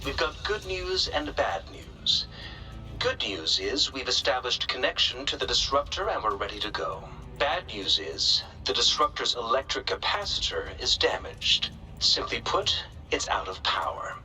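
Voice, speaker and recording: A man's voice: medium pitch, very animated, unhurried, pushed and bright, faint music behind, heavily acted, low-quality recording. A man playing a pragmatic, dryly skeptical weapons specialist in a game cutscene, explaining things in clipped, matter-of-fact phrases. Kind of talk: scripted video game cutscene acting.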